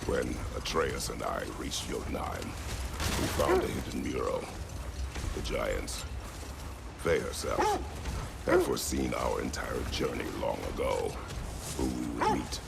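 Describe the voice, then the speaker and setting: An actor playing a stern, weary warrior god and father in a video game, gruff and terse in his speech. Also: measured pace, long pauses, heavy background noise, heavily acted. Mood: grim, guarded. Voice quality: deep, gravelly. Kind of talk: dramatic video game acting.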